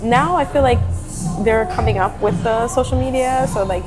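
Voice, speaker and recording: Young woman, from Jamaica, casual interview guest, animated, music behind. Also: fairly high pitch, moderate pace. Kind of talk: casual conversation.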